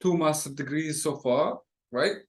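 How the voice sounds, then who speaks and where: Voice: medium pitch, darkish tone. An interview host asking questions and steering the guest back on track. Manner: steering, quick backchannels.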